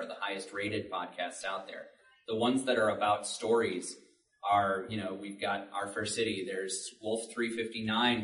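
A man with a fairly low voice talks on a panel, picking his words in a halting, thoughtful way. He is some distance from the mic in an echoey room. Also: fairly bright tone. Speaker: audio drama creator on a panel. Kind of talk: panel discussion. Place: public library panel room.

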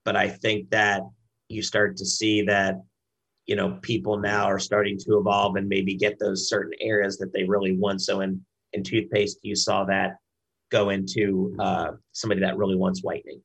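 Low, gravelly-voiced man in an interview. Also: completely flat delivery, a bit bright, rough recording. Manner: halting, repeats words as he explains. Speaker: brand director, interview guest. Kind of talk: conversational business interview.

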